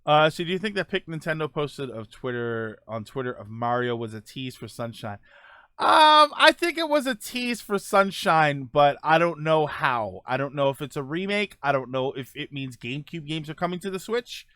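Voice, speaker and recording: A man's voice: a little high, sing-song, normal speed, sharp tone, clear, resonant tone, dry recording. A man hosting a casual live-stream show, answering viewer comments in a loose, rambling way with lots of fillers. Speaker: livestream show host.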